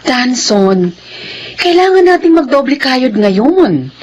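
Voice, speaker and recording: A woman's voice: high-pitched, big pitch swings, slow pace, darkish tone, exaggerated voice. An actress playing a weary, frustrated wife and mother struggling with poverty in a radio-style drama. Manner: complaining, exasperated.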